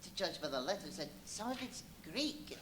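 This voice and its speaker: A fussy, nervy doctor with a lowish voice. The delivery is fretful and halting, swinging in pitch, in a live radio play. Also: female voice, sing-song. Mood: puzzled, fretful. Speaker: stage radio play actor. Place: live performance at a brewery venue. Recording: live stage recording, light noise.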